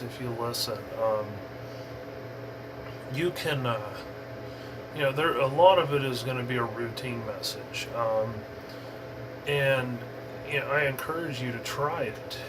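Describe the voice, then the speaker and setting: A man talks through an informal hobby tutorial with a medium-pitched voice. His delivery is unscripted and halting, wandering as he explains. A clear, steady background noise sits behind him. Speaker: amateur radio hobbyist giving an introduction.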